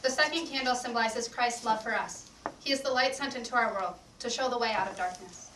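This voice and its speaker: A young woman reads scripted liturgy on stage. Her voice is bright, recorded at some distance in a roomy space with faint noise. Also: a little high, fairly quick. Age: young adult. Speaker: readers theatre reader. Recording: echoey room, distant mic, faint noise.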